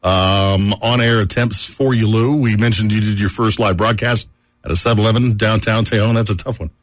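A man's voice: on the low side, steady tone, fairly quick, no echo. A man hosting a morning radio show, chatting and teasing his guests in a casual, upbeat way from the studio.

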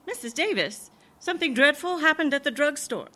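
A woman playing a sardonic high school English teacher with dry, sarcastic delivery in a radio comedy. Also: animated, fairly quick, bright, forceful tone. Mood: exasperated.

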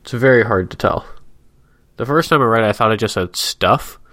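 A man's voice: on the low side, brisk, fairly bright tone, no room sound, studio quality. A man co-hosting a casual podcast, stuffy-nosed, with a wry, rambling way of describing things and laughing easily. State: stuffy nose. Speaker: podcast co-host. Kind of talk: casual chat, describing a comic.

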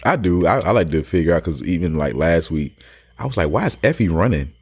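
A man co-hosting a casual chat, relaxed and conversational, raising questions to keep the talk going. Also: sits low, brisk, slightly rough. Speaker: podcast co-host.

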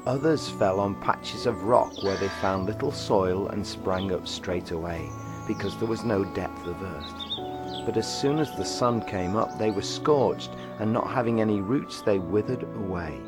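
Deep-voiced man reading scripture. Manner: measured, steady reading. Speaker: scripture reader. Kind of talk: gospel reading. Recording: reading over background music.

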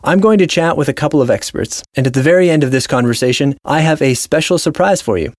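A man's voice: a little high, quick pace, no room sound, clean studio sound. A man hosting a post-show interview, speaking in a scripted, presentational style. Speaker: host.

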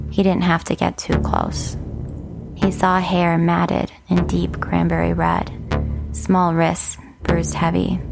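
A woman with a creaky voice delivering scripted narration for an audio fiction show. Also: fairly low pitch, low-quality recording.

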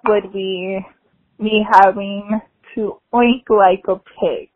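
A woman's voice: mid-range voice, steady tone, takes it slowly, husky, phone-quality sound. A woman answering interview questions casually, with shy little laughs. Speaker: guest.

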